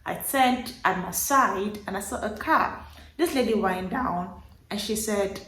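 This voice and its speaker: A young woman in her twenties from Nigeria, speaking with a Nigerian accent, casually telling a personal story to camera in an animated, emphatic way and repeating words for effect. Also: mid-range voice, a little slow, a little hiss or hum. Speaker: vlogger. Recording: roomy echo.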